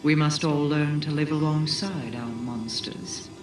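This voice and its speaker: An imperious, wary queen in a game cutscene, grave and formal in every phrase. Her voice rings with strong echo and sits a little away from the mic. Music runs clearly beneath it. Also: low voice, steady tone, a little slow. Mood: grave, distrustful. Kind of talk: dramatic video game cutscene speech. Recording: echoing, distant voice under music.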